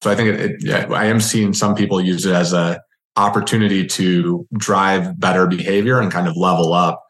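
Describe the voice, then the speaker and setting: A man with a fairly low voice hosts a casual interview. He speaks haltingly, reworking his phrases as he goes. The sound is noticeably roomy and echoing. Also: fairly even intonation, a bit bright. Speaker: podcast host.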